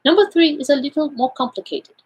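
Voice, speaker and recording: A woman voicing a courteous, self-assured Belgian male detective in an audiobook, speaking in a deliberate, formal, explanatory way. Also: high voice, animated, voice pushed up. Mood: precise, explanatory. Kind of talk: audiobook character dialogue.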